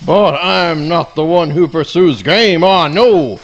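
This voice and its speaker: A man's voice: on the high side, animated, slow, dull audio. A man voicing a pompous, clumsy bloodhound who calls himself a faithful companion, with grand, flowery diction in a comic radio play. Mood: boastful.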